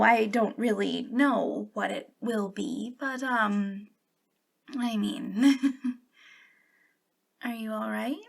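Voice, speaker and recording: A woman's voice: on the high side, vocal fry, fairly bright tone, exaggerated voice. A woman playing a centuries-old, youthful-looking witch in an ASMR roleplay, confiding coyly and hesitantly with a giggly, sinister playfulness. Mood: coy, solicitous. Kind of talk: ASMR roleplay monologue addressed to the listener.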